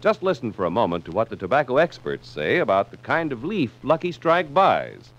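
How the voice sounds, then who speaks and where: An American man delivering a 1950s radio advertising pitch as commercial announcer. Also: huge pitch swings, fairly quick, fairly bright tone. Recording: muffled vintage radio broadcast.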